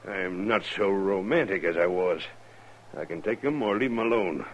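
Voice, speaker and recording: An actor playing a brutal, boastful older buffalo hunter in a 1950s American radio western, with a rough frontier drawl. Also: male voice, low voice, slow, highs cut off. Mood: boastful menace. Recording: faintly noisy old radio recording.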